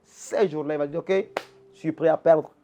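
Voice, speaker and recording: Pastor with a higher voice, preaching earnestly. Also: male voice, level tone, moderate pace, dark tone, slight background noise. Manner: restarts and repeats words, emphatic teaching tone. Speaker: pastor, interview guest. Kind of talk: earnest preaching-style answers. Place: Quebec.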